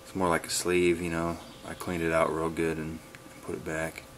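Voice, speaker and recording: A man giving a casual, laid-back vlog update in a halting, plain-spoken way, recorded on a handheld camera in a garage. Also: sits low, completely flat delivery, a bit bright, slight background noise. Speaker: wood-splitting video blogger. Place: garage, open to outdoors. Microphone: handheld camera's built-in mic.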